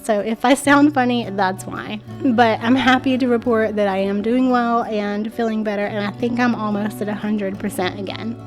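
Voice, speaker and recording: A woman's voice: fairly high pitch, steady tone, normal speed, hardly any pauses, loud music behind the voice. A woman in her thirties with a stuffy, congested voice, chatting to viewers in a friendly home decor voice-over. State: stuffy nose from a cold. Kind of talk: voice-over narration. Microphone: voice-over mic.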